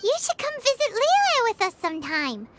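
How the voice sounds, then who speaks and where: A woman's voice: very high-pitched, wildly swooping pitch, moderate pace, a bit bright, heavily acted. A woman voicing a tiny, chirpy female companion creature in a game, who calls herself by her own name. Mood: excited. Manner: talks about herself in third person, bubbly. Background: music.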